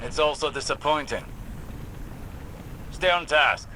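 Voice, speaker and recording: A stern, measured mentor. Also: male voice, a little high, slight background noise, poor audio. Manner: calm, measured, commanding. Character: stern wise male mentor and mission overseer. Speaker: mission guide character in a video game. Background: game music.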